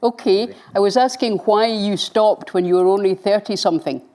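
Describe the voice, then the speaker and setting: A woman's voice: medium pitch, normal speed, mellow. A woman interviewing an author at a book event, asking polite, slightly tentative questions with small restarts.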